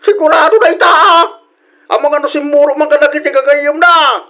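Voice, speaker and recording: A man's voice: extremely high pitch, fairly even intonation, brisk, sharp tone, dull audio. An actor with an Ilocano accent playing an indignant, incredulous old father-in-law in a radio drama.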